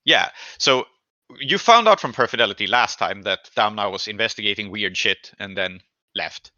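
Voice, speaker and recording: A man working as game master, narrating a tabletop session in a halting, deliberate way. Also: fairly low pitch, lively intonation, sharp tone, dry recording, dull audio.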